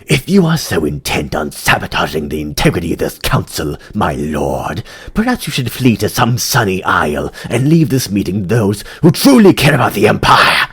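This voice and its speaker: A passionate young insectoid seer from a fantasy audio drama, as performed by a man. He is proud and biting, with a very animated, slightly husky voice and formal, indignant phrasing. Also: sits low, normal speed, few pauses, heavily acted. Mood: venomous, indignant.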